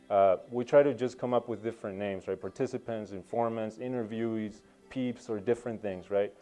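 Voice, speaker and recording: Young peruvian guy talking about his research, lowish mellow voice, soft music behind. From Peru. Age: young adult. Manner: reflective, many ums and uhs. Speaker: anthropology student.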